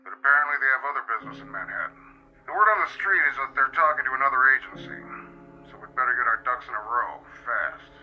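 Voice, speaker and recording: A man's voice: medium pitch, expressive, soft background music. An actor in a radio drama playing a firm, businesslike male agency boss, heard through a telephone filter. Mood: urgent, businesslike. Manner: brisk, firm, authoritative. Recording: muffled, band-limited phone call.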